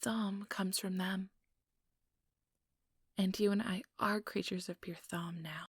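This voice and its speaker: An ambitious female vampire, acted by a woman, whispers intimately in an ASMR role-play. Her voice is extremely breathy and creaky, recorded dry and close. She speaks in a measured, condescending way, manipulative and quietly persuasive. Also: mid-range voice, fairly even intonation, no room sound. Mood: measured storytelling. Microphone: close ASMR microphone.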